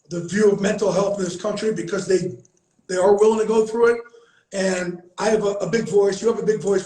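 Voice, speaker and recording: A man's voice: high-pitched, darkish tone, reverberant, poor audio. A middle-aged man who hosts a candid conversation, talking bluntly and earnestly and swearing freely. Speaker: host, founder of a veterans and players group.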